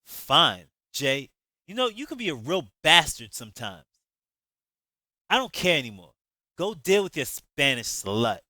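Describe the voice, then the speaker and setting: A bitter, scornful young woman, as a male storyteller puts her on, pitched a little higher and pushed louder. She snaps each line with sharp contempt. The recording is dry and close. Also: big pitch swings, a little slow, dry recording, full character voice.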